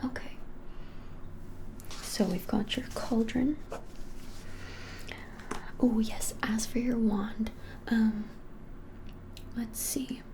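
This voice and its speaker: A woman in her twenties whispering softly and gently in an unhurried ASMR shop roleplay. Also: fairly high pitch, steady tone, a little hiss or hum, low-quality recording. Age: 20s. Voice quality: soft, breathy whisper. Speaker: ASMR creator playing a witch shop keeper. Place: small indoor room, plain wall.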